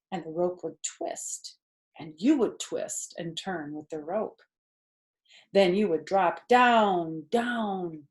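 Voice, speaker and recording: A woman storyteller narrating a children's book in an expressive reading style. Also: mid-range voice.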